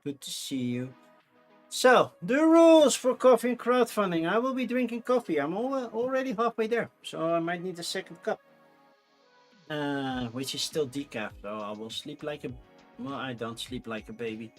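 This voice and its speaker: A man's voice: a little high, animated, moderate pace, soft background music. Chatty Dutch-accented man, rambling. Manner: rambling, chatty, self-correcting with frequent fillers. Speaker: channel host. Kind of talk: casual solo chat to camera.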